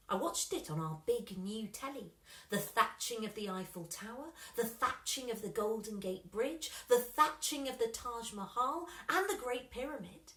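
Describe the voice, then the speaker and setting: A woman reading a children's story expressively, with dramatic pauses and emphasis. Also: a little high, a bit bright. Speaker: story reader. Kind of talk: scripted children's story narration. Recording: roomy, echoing room sound.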